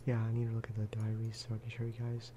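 A man giving casual, rambling ASMR game commentary, speaking softly and often slipping into whispers. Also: lowish voice, monotone, fast, background noise. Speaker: gaming video host.

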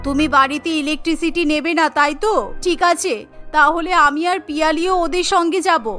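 A woman's voice: sits high, fairly even intonation, brisk, bright, forceful tone, faint music behind. A woman voicing an upset, defiant mother-in-law in a cartoon story. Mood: tearful defiance.

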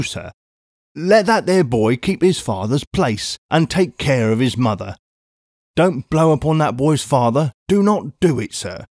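A man's voice: medium pitch, a little mellow, no room sound, lightly acted. Male reader as a pleading Cockney laborer, evasive and long-winded, dry close sound. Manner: wheedling, rambling, dialect-heavy. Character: cockney odd-job man, pleading, evasive.

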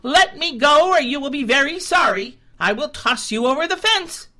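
A woman's voice: high-pitched, animated, slow, sharp tone, pitched above the performer's own voice, heavily acted. A woman playing a bossy billy goat. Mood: threatening.

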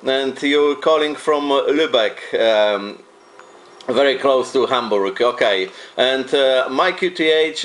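A man with a Polish accent talks in a casual amateur radio exchange. His English is halting and repetitive, and his manner is courteous and friendly. His voice sits at a medium pitch. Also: a bit bright. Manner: hesitant, repeats phrases; friendly, polite.

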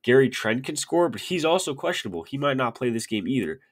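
A man's voice: medium pitch, very animated. A young man who says he is sick, talking casually to his webcam about betting picks with lots of "literally" and "like". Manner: rapid, filler-heavy, repeats "literally". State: sick, sniffly. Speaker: sports betting picks creator. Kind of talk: casual talk to camera. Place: small room indoors.

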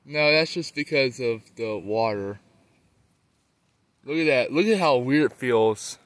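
A man's voice: medium pitch, expressive, measured pace, dry recording. A man talking casually and informally as he gives a walking house tour, often hesitating and repeating himself. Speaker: vlogger giving a house tour. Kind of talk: casual chat while walking around. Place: house under construction and its muddy yard.

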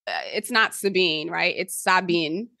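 Haitian-born woman podcast host, bright tense voice, dry close sound, chatty and quick. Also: lowish voice, creaky, dry recording. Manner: quick, chatty, laughs easily. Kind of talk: casual interview chat.

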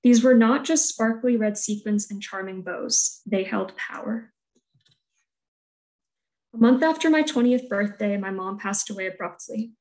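A woman whose first language is Polish, reading a scripted artist talk about her work in a soft, measured, reflective way over earbuds on a video call. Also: mid-range voice, low-quality recording. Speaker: art student presenting her thesis work. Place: room at home, indoors.

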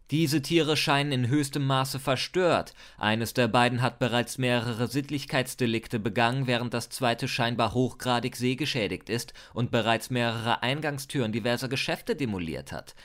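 A man plays an officious animal welfare officer with a medium-pitched voice. He is stern and measured, reciting his business like a formal bureaucrat in a fan audio drama. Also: fairly bright tone, close to an everyday voice.

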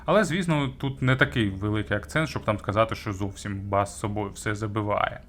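A man giving a conversational audio gear review, speaking with hesitant pauses, stretched words and emphatic jumps on key phrases. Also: on the low side, fairly bright tone, a little hiss or hum. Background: background music.

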